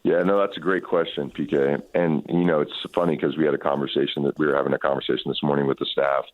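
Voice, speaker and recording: A man, a soccer coach, answering radio interview questions in a halting, thoughtful way, often repeating words as he works out his point. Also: very deep voice, little pitch movement, no room sound. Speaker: professional soccer head coach. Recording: muffled narrowband phone line.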